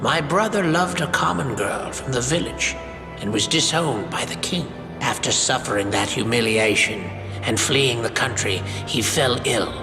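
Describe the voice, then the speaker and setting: A man's voice: medium pitch, normal speed, natural voice. An actor playing a stern, proud old king and father in a fantasy game, speaking formally and haughtily. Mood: grave. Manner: formal, haughty, archaic diction. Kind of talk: theatrical fantasy game acting. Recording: roomy reverberant voice over music.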